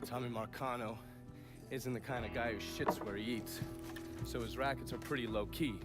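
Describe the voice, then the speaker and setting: A male actor as a sardonic American agent in a crime game. He is blunt and profane, mid-pitched, with music behind him. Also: steady tone, normal speed, natural voice. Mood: matter-of-fact. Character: cynical, foul-mouthed male government agent ally. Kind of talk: video game cutscene acting.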